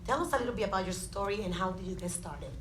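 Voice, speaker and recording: A woman's voice: medium pitch, lively intonation, rapid-fire, echoey room, slight background noise. A woman with a Dominican accent moderating a panel, praising and introducing speakers. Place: theatre stage.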